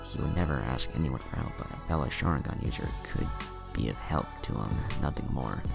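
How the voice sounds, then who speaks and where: A man's voice: very deep voice, lively intonation, fairly quick, vocal fry. A man reading scripted fan fiction as a narrator, voicing the dialogue as he goes, with music behind him.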